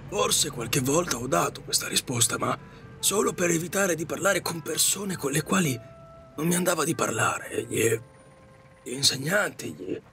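An actor playing a resigned, regretful taxi driver in an audio drama, speaking politely, reflectively and with some hesitation. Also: male voice, on the high side, gravelly, bright, forceful tone. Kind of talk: Italian audio drama acting.

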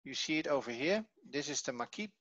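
A man giving an online language lesson, reading from a textbook and explaining it in a halting, explanatory way. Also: a little high, animated, a bit bright, no echo, muffled recording. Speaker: Hebrew teacher. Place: online video call.

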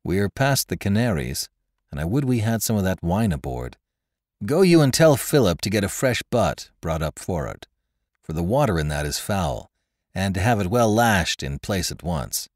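A man's voice: deep voice, very animated, moderate pace, a little mellow, no room sound, clean studio sound. A man as a curt, commanding ship's officer. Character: blunt, proud Virginian ship's officer giving orders. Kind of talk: audiobook character voice in a sea adventure.

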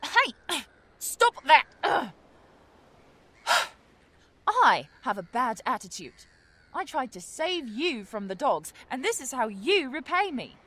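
An actress playing a feisty, skeptical, indignant woman in an audio drama, sharp-tongued and sarcastic. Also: high voice, sing-song, slow, full character voice.

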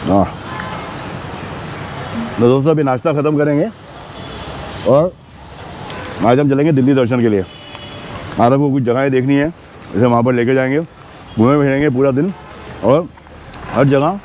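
A man's voice: mid-range voice, normal speed, pauses often, darkish tone, muffled recording, faint music behind. A man in his fifties hosting a food vlog, chatty and enthusiastic, talking into a lapel mic outdoors. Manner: chatty, enthusiastic, mixes English words into Hindi. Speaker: food vlogger host. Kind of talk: casual food vlog narration. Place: outdoors on busy city streets. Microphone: clip-on lapel mic. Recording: street noise behind.

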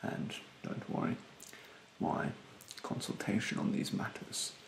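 A young man playing a rude, snobbish facial therapist in a soft-spoken, often whispered ASMR roleplay, with a haughty, halting delivery. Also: roomy sound, a little hiss or hum, lightly acted. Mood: haughty, fussy. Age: young adult. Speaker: ASMR performer. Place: small bedroom, indoors. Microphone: close to the microphone.

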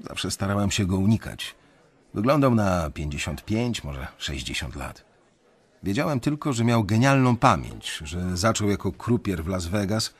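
An actor playing a reflective young banker, narrating his story in measured, literary first person for a radio drama, with a husky voice. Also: low voice.

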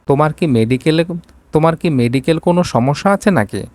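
A man's voice: fairly high pitch, animated, brisk, a little mellow, no room sound, pitched above the performer's own voice, close to an everyday voice. A man voicing a curt, commanding young rich businessman in an audio romance story. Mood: businesslike.

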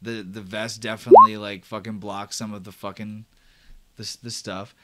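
A man's voice: lowish voice, steady tone, moderate pace, bright, forceful tone. A man narrating an improvised tabletop sci-fi horror game as game master, in a casual, profane, dramatic way.

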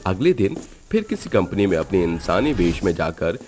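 Male storyteller, low pitch, scripted dramatic horror narration, music underneath. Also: very animated, normal speed, darkish tone.

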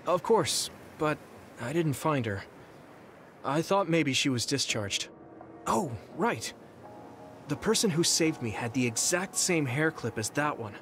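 An actor playing an earnest, polite, slightly awkward young soldier in an anime-style video game, speaking hesitantly. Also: male voice, a little high, expressive, unhurried, a bit bright, faint music behind.

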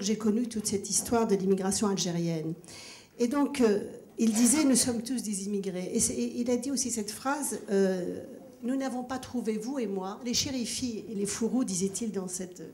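A middle-aged woman takes the handheld mic at a formal public debate. Her voice is mid-pitched and mellow, and she argues earnestly, repeating phrases for weight. The large hall echoes around her, with noticeable background noise. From born in Kabylie, Algeria, grew up in France from age nine. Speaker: audience member questioning a speaker at a public debate. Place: large ornate hall with seated audience, indoors. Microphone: handheld microphone.

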